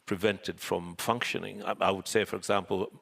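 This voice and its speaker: A man's voice: low-pitched, fairly even intonation, gravelly, fairly bright tone, slightly rough. An older man whose first language is Swahili, speaking thoughtfully with hedges as a guest on a panel. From Zanzibar. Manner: thoughtful, measured, with frequent hedges. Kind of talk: panel discussion. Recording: reverberant hall.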